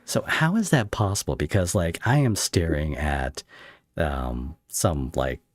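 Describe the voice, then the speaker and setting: A man hosting a casual interview podcast, effusive and halting as he thinks aloud. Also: a bass voice, expressive, no room sound, studio quality. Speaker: podcast host interviewing a creator.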